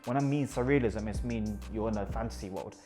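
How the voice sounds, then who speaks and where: A man's voice: on the low side, brisk, mellow, soft background music. A man from Iraq with a London accent, a photographer talking enthusiastically about his pictures and often repeating words for emphasis.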